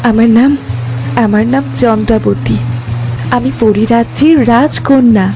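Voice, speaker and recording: A woman voicing a gentle, dignified young fairy princess in an animated fairy tale, speaking politely and formally. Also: a little high, unhurried, music in the background, close to an everyday voice. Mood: forlorn, earnest.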